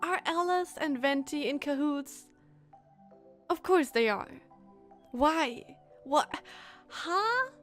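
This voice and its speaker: A woman streamer with a high voice gives casual game commentary. She is chatty and reactive, with faint music behind her. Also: expressive, slow pace, fairly bright tone. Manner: chatty, reacts to dialogue, giggly.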